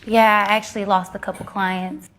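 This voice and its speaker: A woman's voice: medium pitch, flat delivery, normal speed, fairly bright tone, rough recording. A woman speaking as a plaintiff in a televised court, giving short testimony and interjections.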